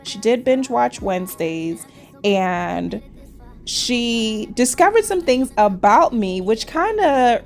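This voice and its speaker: A chatty woman in her forties with a Trinidadian accent blended with New York, talking to viewers as she works on nails. She keeps up a loose, friendly flow and calls her audience y'all. Soft music plays faintly behind her. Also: fairly high pitch, lively intonation, slow pace, vocal fry. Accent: Trinidadian mixed with New York. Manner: chatty, addresses viewers as cuties, says y'all. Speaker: nail vlogger. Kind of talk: casual chat while doing nails.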